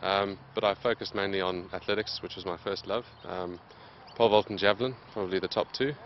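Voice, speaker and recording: A man in his late twenties, answering interview questions in a plain, steady way with many pauses. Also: deep voice, lo-fi sound, slight background noise. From Zimbabwe. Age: late 20s. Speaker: interviewed athlete.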